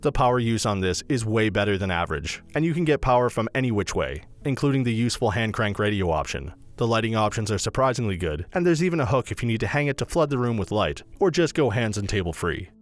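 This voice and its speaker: A man reading a scripted product review as a voice-over narrator, in a brisk, even read-aloud delivery. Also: fairly low pitch, soft background music.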